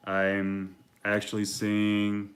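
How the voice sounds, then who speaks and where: A man with a deep voice chatting casually to his viewers in a relaxed, rambling way with plenty of fillers. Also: extremely slow. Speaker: reptile vlogger. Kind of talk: casual talk to camera.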